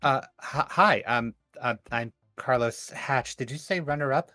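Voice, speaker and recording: A man's voice: medium pitch, measured pace, pushed and bright, no echo, studio quality, voice pushed up. A man acts out an awkward young male intern in a tabletop roleplaying game. He stammers and hesitates, shy and self-deprecating. Faint music plays behind him. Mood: nervous, awkward.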